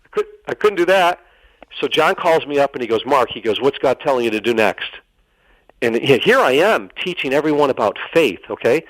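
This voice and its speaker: Middle-aged male interview guest, mid-pitched voice, animated earnest storyteller who repeats phrases for emphasis and acts out dialogue. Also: a little fast, no room sound, poor audio.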